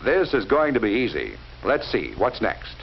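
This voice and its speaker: A man narrating a 1940s educational film in a measured, instructive way, speaking directly to the viewer. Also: on the low side, huge pitch swings. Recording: dull lo-fi 1940s film soundtrack with faint hiss.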